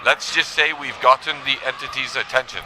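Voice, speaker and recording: Parody sci-fi audio drama captain, male actor: brisk, commanding, medium pitch, clear background noise. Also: fairly even intonation, lightly acted. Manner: commanding, clipped. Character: bold, brisk male starship captain calling his crew.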